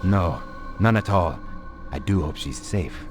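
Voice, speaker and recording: An actor playing an earnest resistance informant, confiding news to an ally in a video game. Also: male voice, low voice, expressive, measured pace, music in the background. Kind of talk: dramatic video game acting.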